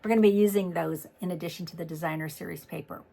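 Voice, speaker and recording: A middle-aged woman demonstrating card making in a chatty step-by-step tutorial, slightly hoarse as she is losing her voice. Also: lowish voice, steady tone, fast talker, warm tone. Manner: chatty, walks through each step. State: losing her voice, throat clearing. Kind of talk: casual crafting tutorial.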